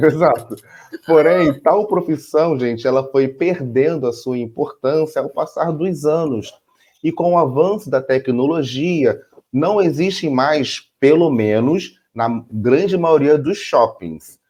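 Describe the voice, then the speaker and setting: A man's voice: fairly high pitch, measured pace, a little mellow. A man with a Brazilian Portuguese accent co-hosting a casual chat, playful and laughing easily with his partner. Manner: playful, laughs often, addresses "gente". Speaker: co-host. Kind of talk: casual chat with partly read script.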